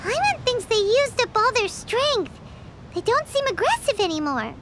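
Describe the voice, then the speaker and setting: A woman voicing a tiny, childlike floating fairy companion in a video game, squeaky, naive and excitable. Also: moderate pace, heavy background noise. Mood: impressed.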